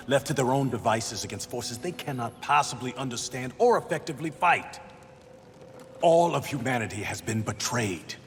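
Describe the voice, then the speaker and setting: An actor playing a wise, hopeful human refugee in a video game, wry, philosophical and encouraging. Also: male voice, mid-range voice, in a large hall, lightly acted. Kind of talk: video game cutscene acting.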